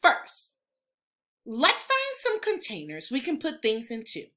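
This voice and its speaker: A woman reading a children's book aloud in an expressive, instructional way, like a teacher. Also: fairly high pitch, measured pace. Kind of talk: animated children's read-aloud.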